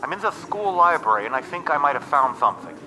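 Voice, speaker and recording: An urgent schoolkid whispering over a walkie-talkie, as an audiobook narrator puts him on. His voice sits a touch higher and softer than the narration, with a background hiss. It is an audiobook reading. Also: animated, quick pace, natural voice. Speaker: classmate calling in.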